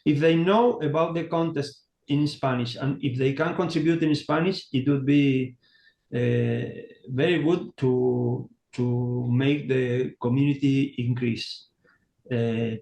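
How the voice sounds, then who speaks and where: A man on an online call, speaking haltingly and searching for words in English. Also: mid-range voice, vocal fry, darkish tone. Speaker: community contributor. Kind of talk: online meeting talk. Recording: roomy echo.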